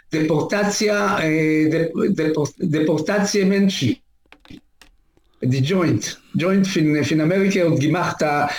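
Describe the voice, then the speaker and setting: A man in his seventies from Israel, telling his life story in an interview, halting and searching for words with many fillers. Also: a little high. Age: 70s. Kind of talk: oral history interview. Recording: echoey room.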